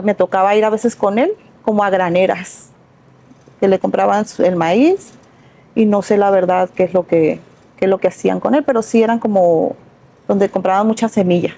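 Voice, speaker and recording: Middle-aged woman, warm dark tone, reflective, interview guest. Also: mid-range voice. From Sinaloa, Mexico. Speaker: guest, entrepreneur. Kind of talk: casual interview storytelling.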